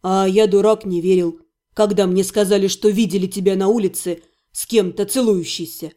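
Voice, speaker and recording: A woman narrator voices a young necromancer, a betrayed fiancé in a fantasy audiobook. His voice sits low and mellow. He speaks with cold restraint, deliberate and full of bitter reproach. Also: fairly even intonation, lightly acted. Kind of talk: dramatized audiobook dialogue.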